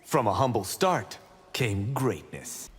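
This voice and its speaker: An actor playing a cocky, boastful young martial-arts monk in video game fight banter. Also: male voice, mid-range voice, big pitch swings, slow pace, husky, in a large hall, full character voice. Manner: brash, proud, playful.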